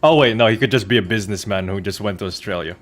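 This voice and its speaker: A man's voice: lowish voice, quick pace, a bit bright, faint music behind. A man giving casual let's play commentary as a gaming streamer, with a sardonic, sweary way of talking.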